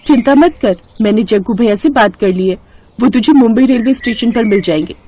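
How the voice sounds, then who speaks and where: A woman's voice: fairly high pitch, fairly quick, mellow, natural voice. Woman as scheming, persuasive young village friend. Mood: persuasive, conspiratorial. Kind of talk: Hindi moral cartoon story acting.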